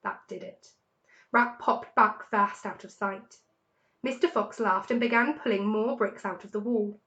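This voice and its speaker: Expressive woman reading a children's story. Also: medium pitch, measured pace, dull audio. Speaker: story reader. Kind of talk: scripted children's story narration.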